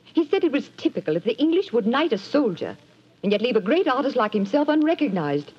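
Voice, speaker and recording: An actress playing an anxious middle-aged wife with a breathy, pleading manner, in 1940s radio acting on a lo-fi broadcast. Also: female voice, on the high side, very animated, a little fast, a little mellow. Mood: distressed.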